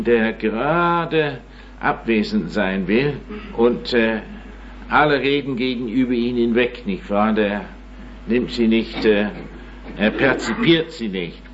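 A man in his nineties giving an informal lecture, rambling and self-mocking, with many hesitations and tag questions. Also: mid-range voice. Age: 90s. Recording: dull recording with faint hum.

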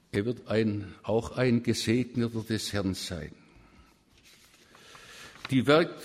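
A man's voice: medium pitch, a little mellow. A man with a Swabian accent preaching a sermon, emphatic and at times rising to fervent proclamation. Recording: long reverb of a church.

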